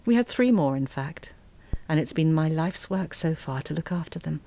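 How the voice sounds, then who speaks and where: Low, mellow, bitter mother. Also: expressive, moderate pace. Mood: wistful, contented. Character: bitter, articulate middle-aged mother confronting an old rival. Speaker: audio drama actor. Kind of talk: dramatic monologue, letter read as confession.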